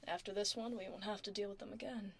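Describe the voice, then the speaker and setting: A woman playing a laid-back tomboy werewolf office admin in a soft, casual, wry ASMR roleplay. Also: mid-range voice, steady tone, fast talker, close to an everyday voice. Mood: easygoing, reassuring. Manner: casual, reassuring, wry, murmuring. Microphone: close binaural ASMR microphone. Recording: close binaural mic, faint background noise.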